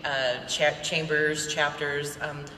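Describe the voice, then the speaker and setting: A woman with an American accent chats casually on a panel. Her voice is bright and forceful, echoing in a large hall with faint noise behind it. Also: low voice, little pitch movement, slow pace.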